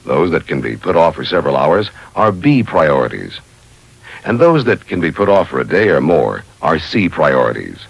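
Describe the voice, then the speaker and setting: A man's voice: a bass voice, big pitch swings, normal speed, gravelly, breathy edge, no echo, poor audio. A man reading scripted instructional narration in a formal, methodical way, as the narrator of a training video.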